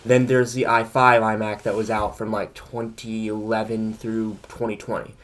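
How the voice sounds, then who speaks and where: Guy on a tech podcast ranting his opinions, rambly, kinda thinking out loud. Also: lowish voice, little pitch movement, normal speed. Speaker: podcast co-host. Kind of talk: casual tech podcast chat.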